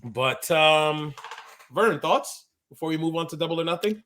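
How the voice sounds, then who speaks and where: A man's voice: on the high side, animated, moderate pace, a bit bright. A man in his thirties co-hosting a wrestling podcast, chatting casually in slangy insider talk. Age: 30s. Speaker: co-host of a pro wrestling podcast; active pro wrestler. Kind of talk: casual podcast chat and commentary.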